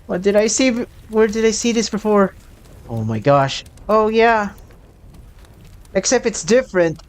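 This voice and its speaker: A game streamer reacting casually, thinking aloud in a halting way. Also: mid-range voice, expressive, clear, resonant tone.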